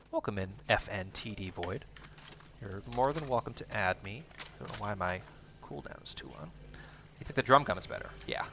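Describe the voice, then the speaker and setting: A man livestreaming a video game, talking with viewers in a calm, explanatory, educator-like way. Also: on the low side, vocal fry, a little hiss or hum. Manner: chats with viewers while narrating play. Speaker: streamer playing a battle royale game. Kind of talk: casual livestream chat while gaming.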